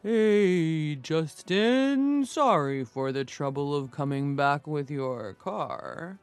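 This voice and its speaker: A woman's voice: deep voice, expressive, takes it slowly, darkish tone, smooth, no room sound, soft background music, exaggerated voice. A woman narrator voicing a male company vice president, apologetic yet commanding, in a story.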